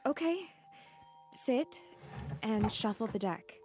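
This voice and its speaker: Young tarot reader, gentle and caring, audio drama, faint music under it. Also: female voice, on the high side, lively intonation, slow pace. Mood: soothing. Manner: soothing, encouraging, concerned. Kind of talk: naturalistic audio drama acting.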